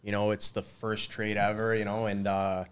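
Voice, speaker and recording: A man in his twenties talks as a guest in a casual interview. His voice sits fairly low. He chats loosely, with plenty of fillers along the way. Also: monotone, moderate pace, clear, resonant tone. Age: 20s. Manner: laughs often, lots of fillers. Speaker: guest, mason.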